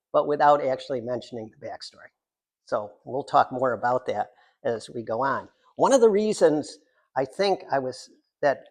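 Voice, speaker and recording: An older American man, a poet introducing his work at a reading in an informal, halting, digressive way with wry, self-deprecating humour. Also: medium pitch. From Central New York, United States. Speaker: poet reading from own book. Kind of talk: informal author talk at a poetry reading.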